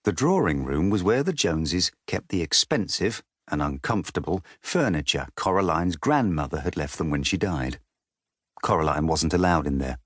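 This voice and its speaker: Male storybook narrator, lowish register, lively intonation, dry studio audiobook sound. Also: normal speed, dry recording.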